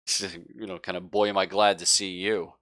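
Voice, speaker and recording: A man's voice: low-pitched, little pitch movement, fairly quick, a bit bright. A man narrating a tabletop roleplaying game as game master, casual and joking as he improvises.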